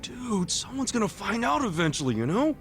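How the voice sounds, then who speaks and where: An actor playing an easygoing, level-headed buddy in a video game cutscene, speaking casually and earnestly. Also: male voice, sits high, big pitch swings, brisk, a bit bright, faint music behind. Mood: incredulous. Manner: casual, incredulous, reassuring.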